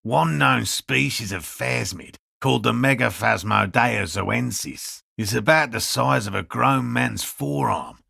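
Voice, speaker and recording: An actor playing an earnest, stubbornly hopeful elderly male cryptozoologist in a video game, speaking with a gravelly rasp and a formal, pedantic manner. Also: medium pitch, a little slow, few pauses, sharp tone, no room sound, clean studio sound, heavily acted. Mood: earnest, scholarly. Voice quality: gravelly, raspy. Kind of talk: video game character dialogue.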